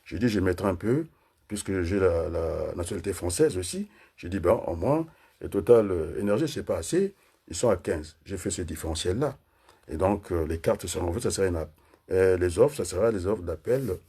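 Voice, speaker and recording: A man's voice: low-pitched, lively intonation, normal speed, mellow, husky. A man from Congo giving a combative political monologue, hesitating often with fillers. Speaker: political commentator.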